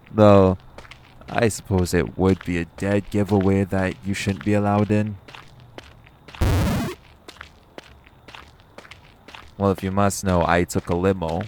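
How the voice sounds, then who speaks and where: A man's voice: low voice, noisy background. A man playing a cocky, flirtatious vampire who banters sardonically and swears in an audio roleplay. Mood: bantering. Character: cocky, teasing, flirtatious male vampire, long-lived yet youthful.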